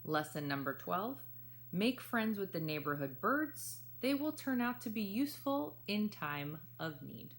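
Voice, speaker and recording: A woman's voice: medium pitch, very animated, unhurried, a little hiss or hum. A woman reading a children's picture book aloud, expressively and speaking straight to young listeners. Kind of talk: children's read-aloud storytelling.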